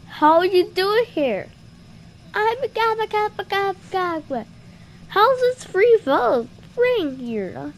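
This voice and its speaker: A woman improvising playful puppet show dialogue in an excitable, exclamatory way. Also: very high voice, slow pace.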